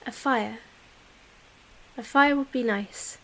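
A woman's voice: a little high, takes it slowly, pushed and bright, full character voice, poor audio. A woman playing a kindly, playful halfling adventurer in a fantasy audio drama. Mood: sheepish.

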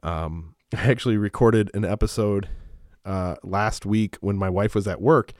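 A man in his thirties, a pastor hosting a Bible-teaching podcast from a small home studio, talking in a relaxed, rambling way with lots of fillers and restarts. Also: a little slow, dry recording. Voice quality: smooth, low.

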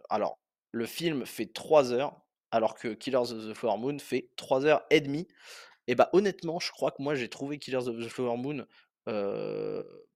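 Young guy doing a podcast movie review, mid-pitched, lots of um's, dry close sound. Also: dry recording. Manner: rambling, many "heu" fillers and restarts. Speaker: podcast host. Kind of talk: casual film review podcast.

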